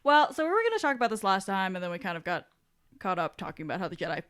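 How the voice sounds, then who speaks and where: Woman, medium pitch, casual podcast co-host, wry, rambling delivery. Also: very animated, rapid-fire, a bit bright. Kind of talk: casual chat.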